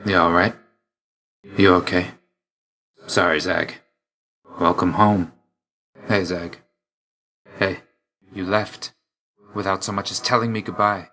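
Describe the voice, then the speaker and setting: Deep, aloof god of death. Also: male voice, bright, forceful tone. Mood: reserved, grudging. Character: aloof, weary young male god of death, dutiful, quietly wounded. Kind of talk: video game voice lines.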